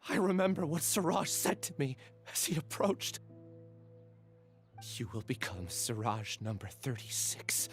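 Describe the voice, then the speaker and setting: Earnest, troubled young man, mid-pitched. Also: sing-song, unhurried, faint music behind. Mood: troubled recollection. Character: earnest, troubled young male test subject. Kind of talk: video game voice acting.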